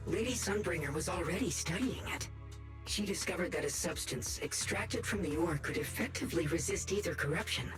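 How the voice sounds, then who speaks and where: A man playing a gentle bamboo storyteller. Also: on the high side, close to an everyday voice. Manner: calm, measured storytelling. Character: gentle male bamboo being, kind storyteller. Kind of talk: game cutscene dialogue. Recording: game audio with music under it. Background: game music.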